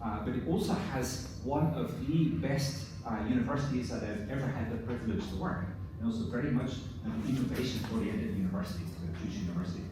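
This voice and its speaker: A middle-aged man from Belgium whose first language is Dutch, giving a relaxed conference presentation with many fillers. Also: medium pitch, fast talker, few pauses. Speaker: university professor. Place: large conference hall. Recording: echoey hall from far off, noisy.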